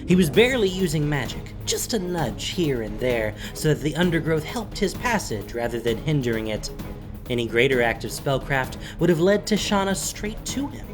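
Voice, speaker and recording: A man narrating a fantasy story as scripted audio drama, in a dramatic, deliberate storytelling manner. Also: fairly high pitch, big pitch swings. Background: music.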